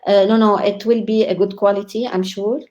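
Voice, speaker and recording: A woman born in Lebanon, speaking as a guest scholar in an online interview, enthusiastic, often pausing with "uh" and laughing. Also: mid-range voice, little pitch movement. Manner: warm, enthusiastic, frequent laughs and hesitations.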